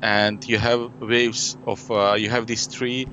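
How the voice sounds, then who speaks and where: A man's voice: mid-range voice, flat delivery, slow pace, bright, forceful tone. A man who designs board games, a French speaker from his youth on, explaining his game at length in a casual interview, full of fillers and "you know". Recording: dull lo-fi audio with faint noise.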